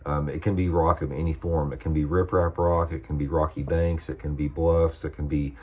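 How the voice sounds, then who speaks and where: An older man with a hoarse, scratchy voice, giving relaxed, folksy fishing tips to his viewers. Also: very deep voice, moderate pace. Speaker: fishing instructor and channel host. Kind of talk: casual how-to video talk.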